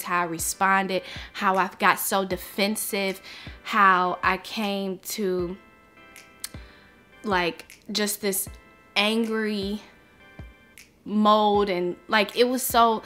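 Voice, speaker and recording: A young woman speaks slowly and earnestly to her viewers, taking her time over each thought. Her voice has a bright, clear edge, and faint music plays underneath. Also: medium pitch, steady tone. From United States. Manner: earnest, reflective, slow and heartfelt. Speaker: vlogger. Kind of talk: personal vlog talk to camera.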